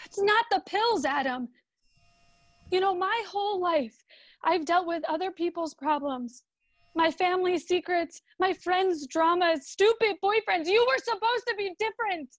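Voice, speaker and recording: A woman's voice: very high voice, a little slow, no room sound. A woman playing a hurt, reproachful young wife in a radio drama, emotional and plaintive. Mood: wounded, pleading.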